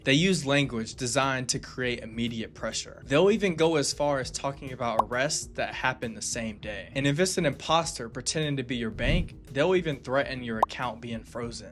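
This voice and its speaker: Male narrator, mid-range voice, scripted explainer delivery, deliberate emphasis on key phrases, background music. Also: mid-range voice, a bit bright. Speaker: video narrator and host. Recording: music bed under the voice.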